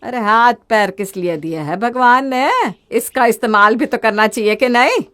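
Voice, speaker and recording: A woman voicing a sharp-tongued, thrifty older mother-in-law in a storybook drama, speaking in a lecturing, sarcastic way. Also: on the high side, normal speed, close to an everyday voice. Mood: scolding. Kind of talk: storybook voice acting.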